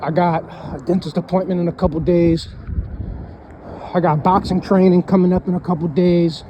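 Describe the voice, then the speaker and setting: High-pitched thirty-year-old man, rambling. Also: fairly even intonation, normal speed, mellow, muffled recording, slight background noise. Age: 30s. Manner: rambling, repeats himself, keeps saying "man" and "you know what I'm saying". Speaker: vlogger. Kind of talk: casual motivational monologue.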